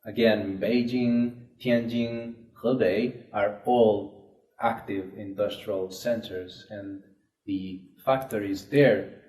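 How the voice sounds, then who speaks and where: A man from Spain takes part as a student in a scripted discussion. He speaks plainly and earnestly in a fairly low voice. His words echo around the room. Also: fairly even intonation, takes it slowly, darkish tone. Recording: echoey room.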